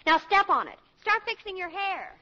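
A woman's voice: very high-pitched, big pitch swings, normal speed, big-room sound, loud music behind the voice. A breezy young sorority girl. She is bossy, slangy and teasing in vintage radio drama style, on a dull old recording. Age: young adult. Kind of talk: 1940s radio acting. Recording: dull lo-fi 1940s radio broadcast.

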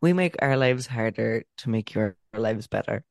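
A man's voice: lowish voice, expressive, moderate pace, vocal fry, no room sound, clean studio sound. A man co-hosting a podcast in a laid-back, wry way, laughing often. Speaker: podcast co-host. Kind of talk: casual chat. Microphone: podcast microphone.

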